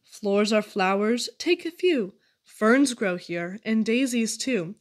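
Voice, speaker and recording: A woman giving an expressive reading of children's poetry. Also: medium pitch, slow. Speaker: poetry reader.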